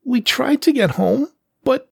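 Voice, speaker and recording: Casting: male reader as timid young female serval cub, high-pitched, pleading, for an audiobook fantasy. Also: expressive, measured pace, vocal fry, husky, dry recording, full character voice. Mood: tearful pleading. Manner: timid, faltering.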